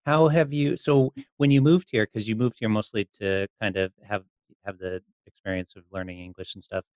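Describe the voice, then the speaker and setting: A man's voice: lowish voice, fairly even intonation, moderate pace, a little mellow, no echo, lo-fi sound. A man hosting a podcast, asking questions in a casual interview style.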